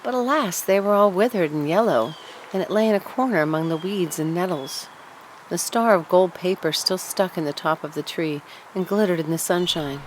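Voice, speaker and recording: A woman narrating a scripted storybook tale in an expressive, deliberate storytelling manner. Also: on the low side, a little hiss or hum, rough recording. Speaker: audiobook narrator.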